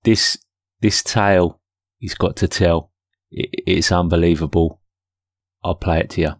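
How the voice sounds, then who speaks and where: A man's voice: low-pitched, fairly even intonation, unhurried, creaky, dry recording, clean studio sound. A man hosting a podcast, talking casually and informally in a self-deprecating way. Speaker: podcast host. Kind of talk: casual solo podcast talk.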